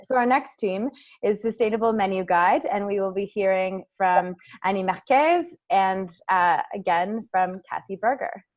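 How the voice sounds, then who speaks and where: A woman moderates an online kickoff, thanking presenters and introducing the next team. She sounds welcoming. Her mid-pitched voice comes through dull call audio. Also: moderate pace. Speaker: meeting moderator.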